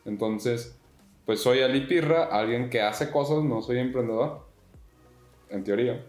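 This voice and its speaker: A young Mexican man in his twenties talks as a podcast guest, relaxed and full of slang. His middle-pitched voice echoes around the room. Faint music sits in the background. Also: measured pace. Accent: Mexican Spanish. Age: 20s. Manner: laid-back, slangy, laughs often. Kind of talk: casual podcast interview.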